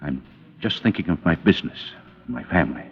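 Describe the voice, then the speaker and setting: Anguished American businessman father, husky, widely swinging pitch, pleading, halting, old radio drama. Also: lively intonation, full character voice. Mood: grave, resigned. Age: middle-aged. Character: anguished businessman father, cornered and defeated by blackmailers. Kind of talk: 1940s-50s radio drama acting. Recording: muffled old radio recording with hall reverb.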